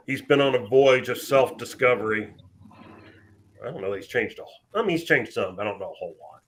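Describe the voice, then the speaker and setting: A man's voice: medium pitch, a little hiss or hum. Rambling man chatting casually. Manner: rambling, thinks aloud with many fillers. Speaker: podcast co-host. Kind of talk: casual wrestling chat.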